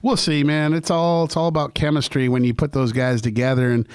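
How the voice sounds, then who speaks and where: A man's voice: fairly high pitch, level tone, a little fast, clear, resonant tone, no room sound, clean studio sound. A man hosting a podcast, chatty and upbeat, with a slangy, laid-back way of talking. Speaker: podcast host. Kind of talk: casual podcast interview.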